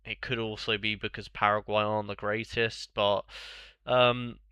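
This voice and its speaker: A man's voice: on the low side, little pitch movement, moderate pace, bright, forceful tone, clean tone, dry recording. A young man with a bunged-up, congested voice, chatting casually on a live stream and answering viewers' questions in a rambling way.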